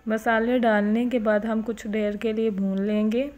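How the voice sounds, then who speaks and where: A woman calmly narrating a home cooking recipe step by step as host of her cooking channel. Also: fairly high pitch, measured pace.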